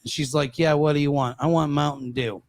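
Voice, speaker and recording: A man's voice: on the high side, fairly even intonation, normal speed, no room sound. A man from Ohio hosting a podcast in loose, profane, joking chat.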